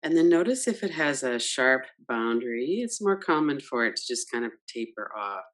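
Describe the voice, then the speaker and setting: A woman leading a guided yoga nidra meditation, gentle and unhurried, guiding with soft questions. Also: extremely low pitch, sing-song. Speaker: meditation teacher.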